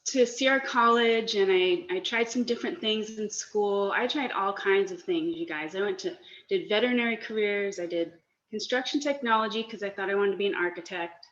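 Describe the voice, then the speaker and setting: A woman in her forties from Northern California, a personal chef giving a casual, friendly presentation from her home kitchen over a video call. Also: medium pitch. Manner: friendly, chatty, a little nervous. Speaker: guest speaker, personal chef. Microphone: laptop or webcam mic on a video call. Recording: echoey, dull video-call audio.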